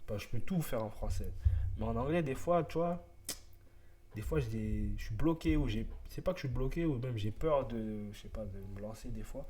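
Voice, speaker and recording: A man's voice: medium pitch, moderate pace, mellow. A young man chatting casually while he codes on a live stream, rambling and muttering to himself between thoughts. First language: French. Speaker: streamer, web developer. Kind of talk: casual live-coding chat.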